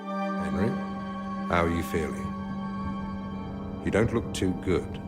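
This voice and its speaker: A calm royal healer from a video game. His deep male voice is sober and measured. Music plays clearly behind him. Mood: concerned. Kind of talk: video game cutscene acting.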